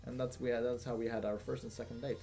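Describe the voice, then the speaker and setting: A young man hosting a casual Q&A video, talking in a flat, monotone way with lots of fillers and restarts. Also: medium pitch, quick pace, creaky, faint music behind. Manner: monotone, rambling with many fillers. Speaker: gaming channel host. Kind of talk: casual Q&A monologue.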